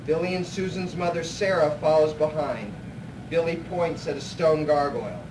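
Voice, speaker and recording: A man's voice: fairly high pitch, moderate pace, natural voice. A man narrating a live radio play as a plain-spoken storyteller. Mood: storytelling. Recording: echoey noisy hall, distant mic.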